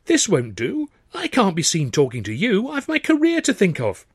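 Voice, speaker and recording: Man putting on a high, chattering cheeky chorus girl. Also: wildly swooping pitch, normal speed, slightly rough, dry recording, clean studio sound, exaggerated voice. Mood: mock outrage. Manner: chatty, theatrical, teasing exclamations.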